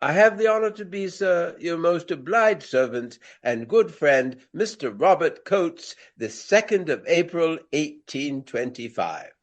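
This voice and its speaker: A man playing a pompous, vain Regency gentleman actor, speaking in florid, courtly phrases in a radio play. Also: fairly high pitch, expressive, unhurried, hardly any pauses. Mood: grandiose. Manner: florid, formal, grandiloquent.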